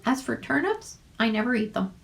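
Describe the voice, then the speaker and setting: A brisk little mouse lady from a storybook, as a woman reader puts her on. She speaks high, with lively pitch and a creaky edge. Her manner is prim, curt and dismissive.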